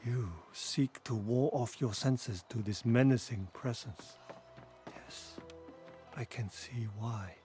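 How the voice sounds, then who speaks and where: An actor playing a calm, wise meditation master in a video game cutscene, speaking in a measured, serene way. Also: male voice, lowish voice.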